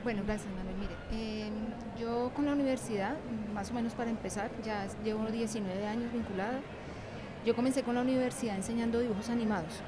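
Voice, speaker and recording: High-voiced woman in noisy venue. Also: brisk. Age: middle-aged. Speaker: university animation lecturer and author, interview guest. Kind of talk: conversational interview answers. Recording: clear background noise of busy venue. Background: ambient noise of a book fair.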